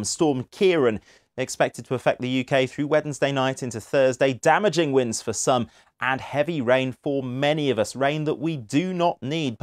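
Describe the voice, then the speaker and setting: Male weather presenter, forties, calm and clear, middle pitch, dry studio lapel sound. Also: dry recording. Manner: measured, clear broadcast delivery. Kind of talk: scripted weather forecast. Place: studio in front of weather graphics.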